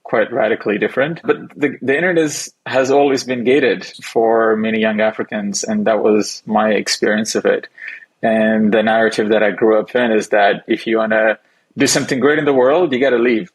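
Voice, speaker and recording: A young adult man from Ethiopia, a founder and investor, giving measured, thoughtful interview answers with the odd restart. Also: on the low side, steady tone, slightly rough, poor audio.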